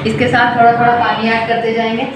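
A middle-aged woman hosting her own cooking channel, calmly talking through each step at home while she cooks. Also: fairly high pitch, fast talker. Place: home kitchen, cooking at the stove. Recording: echoey, distant, noisy home recording. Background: frying and sizzling from the pan.

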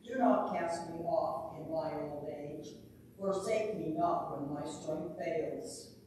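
A man reading scripture aloud at a church service in a solemn, measured way with pauses, heard from a distance in the sanctuary. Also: on the high side, a little mellow. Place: medium-sized church sanctuary, indoors. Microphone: distant from the camera. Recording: echoey church, distant mic, noisy.

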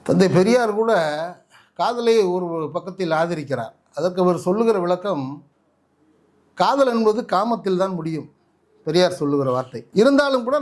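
Very high male voice, Tamil Nadu accent, impassioned political speaker, rhetorical questions, echoey room, distant mic. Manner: forceful, oratorical, full of rhetorical questions. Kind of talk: impassioned political commentary in an interview.

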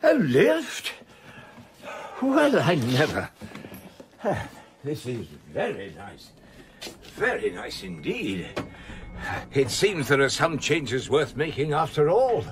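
An actor playing an appraising, pleased gentleman visitor with a musing manner in a radio drama. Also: a little high, wildly swooping pitch, slow pace, long pauses, background noise, full character voice. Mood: pleasantly surprised. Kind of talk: British radio drama acting.

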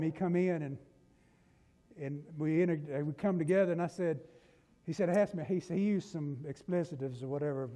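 A middle-aged man with a Southern US accent, preaching a folksy sermon from a church pulpit, swinging between loud and hushed. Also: on the high side. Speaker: pastor. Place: church sanctuary. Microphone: pulpit mic from a far camera. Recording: long church-hall reverb.

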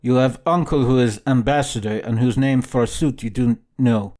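A man's voice: mid-range voice, moderate pace, a little mellow, faint music behind, lightly acted. A man voicing a sly, sneering army captain in an audiobook, mocking, blustering and commanding. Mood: accusing, roaring. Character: sly, sneering military captain, harsh recruiting bully. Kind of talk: audiobook character dialogue.

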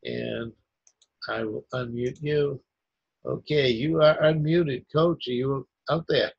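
A man's voice: medium pitch, fairly even intonation, slow pace, creaky, husky, no echo. A man hosting a video call interview, polite and hesitant, with many fillers. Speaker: host. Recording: dry, muffled call audio.

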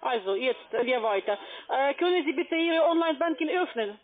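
A woman speaking as a call centre agent, insistent and halting, on a phone call. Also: high voice, lively intonation. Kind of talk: phone sales pitch. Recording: tinny narrow telephone line.

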